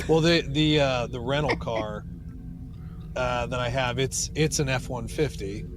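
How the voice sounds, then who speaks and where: A man co-hosting a podcast in casual chat, grumbling wryly in a halting way. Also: mid-range voice, music in the background. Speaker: podcast co-host. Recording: clearly noisy ambient background.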